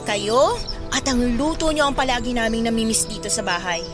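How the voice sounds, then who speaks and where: An actress playing a proud, strict and critical young eldest sister in a Tagalog radio drama, with a pointed delivery. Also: female voice, on the high side, normal speed, close to an everyday voice. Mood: warm. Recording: loud music under the dialogue.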